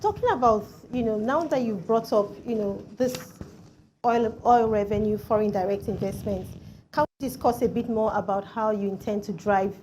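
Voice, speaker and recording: A woman's voice: mid-range voice, normal speed, darkish tone, a little hiss or hum, poor audio. A woman putting formal, polite questions as an interviewer, padding her phrases with "you know". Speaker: interviewer on a panel.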